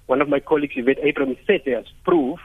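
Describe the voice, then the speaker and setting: A man's voice: mid-range voice, fast talker, slightly rough. A South African man, a native Afrikaans speaker, being interviewed as a guest on radio, searching for phrases and repeating words for emphasis. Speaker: interview guest, heritage site chief executive. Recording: muffled narrow-band phone line on radio.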